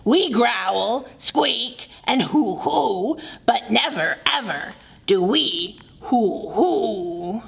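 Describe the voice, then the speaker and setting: Woman playing a gruff grumbling bear, lower than her own voice. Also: expressive, drawn-out words, exaggerated voice. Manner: growling, grumbling. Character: grumpy sleepy big bear.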